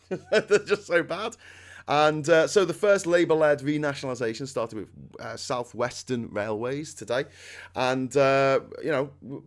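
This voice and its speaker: A middle-aged man with a Scouse accent, hosting a casual chat in a loud, excitable way and laughing often. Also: mid-range voice. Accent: Liverpool (Scouse). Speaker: host.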